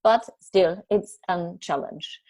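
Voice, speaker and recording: Female guest speaker. Slightly low voice, dry sound, informal answers with halting word searches. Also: darkish tone, no room sound. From Germany or the Netherlands. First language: German. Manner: halting, searching for words, frequent self-repairs. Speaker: journalist and author, guest speaker. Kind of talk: informal spoken talk answering audience questions. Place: online video call.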